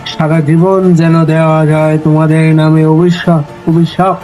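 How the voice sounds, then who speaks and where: A man recites poetry solemnly at a very slow pace, in a fairly high voice. His delivery is measured and declamatory, with deliberate pauses and faint music behind him. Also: little pitch movement, dark tone, low-quality recording. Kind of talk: solemn dramatic recitation of a protest poem. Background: background music.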